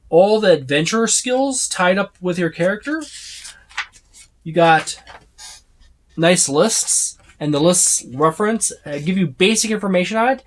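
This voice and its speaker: Higher-pitched man, rambling casual walkthrough. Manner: rambling, listing items as he browses. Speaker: tabletop game book reviewer. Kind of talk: casual unscripted book walkthrough.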